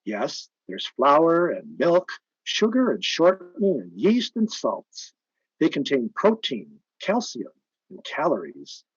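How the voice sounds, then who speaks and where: Husky, lively male radio announcer. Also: a little high, slow, dry recording. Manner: emphatic, polished, persuasive. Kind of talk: old-time radio announcing and advertising. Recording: dull, band-limited old-time radio sound.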